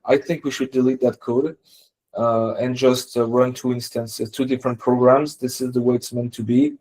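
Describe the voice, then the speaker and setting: A man who works as a software developer, talking casually and tentatively through technical points on a team call. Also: mid-range voice, flat delivery, normal speed, rough recording.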